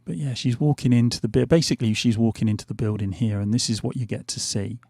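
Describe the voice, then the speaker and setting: Gravelly, hesitant man. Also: medium pitch, fairly even intonation, fast, dark tone, dry recording, clean studio sound. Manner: rambling, hesitant, many fillers and restarts. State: losing his voice. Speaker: gaming channel host. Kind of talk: casual gameplay commentary. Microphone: headphones on, desk mic.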